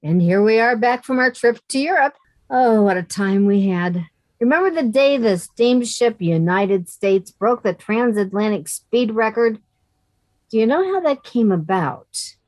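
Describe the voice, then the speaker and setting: A woman's voice: on the high side, animated, a little slow, darkish tone, no room sound. A woman voicing a sensible, wry young woman who narrates in an amateur radio comedy reading. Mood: wry, friendly. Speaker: amateur radio play actor.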